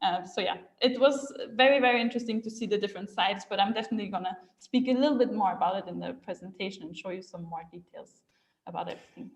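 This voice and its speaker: A woman's voice: medium pitch, fast, roomy sound. A young woman from Austria, guest on a marketing web show, chatting casually with lots of fillers and frequent laughs. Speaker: guest speaker. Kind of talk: casual chat.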